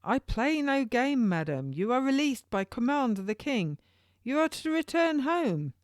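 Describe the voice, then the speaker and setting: An urgent young male turnkey from a historical audiobook, acted out by a woman. The voice is lifted well up in pitch and presses each archaic, formal phrase with earnest emphasis. The sound is dry. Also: very animated, a little slow, no echo. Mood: urgent, insistent. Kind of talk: audiobook character dialogue.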